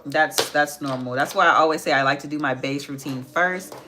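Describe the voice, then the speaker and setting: Low-voiced young woman chatting through makeup. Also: a little fast, background noise. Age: 20s. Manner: chatty, answers viewer comments while working, repeats phrases. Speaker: makeup livestream host. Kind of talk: casual live makeup tutorial, chatting with viewers.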